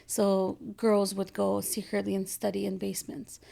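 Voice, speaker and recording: A woman from Afghanistan in her thirties, a guest speaking in a casual interview with many pauses and fillers. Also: medium pitch, level tone, creaky, dark tone. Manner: hesitant, many ums and restarts. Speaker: guest, rug business founder.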